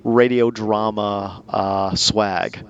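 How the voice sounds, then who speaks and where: A man's voice: on the low side, fairly even intonation, very slow, dull audio. A man hosting a podcast in a casual, chatty style with an enthusiastic manner. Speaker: podcast host.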